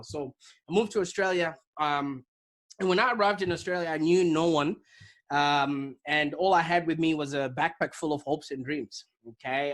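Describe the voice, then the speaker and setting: A man from Zimbabwe, an interview guest telling his story in a lively, animated way over a video call. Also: on the high side. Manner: animated, vivid storyteller with repetitions. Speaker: guest, digital marketing business owner.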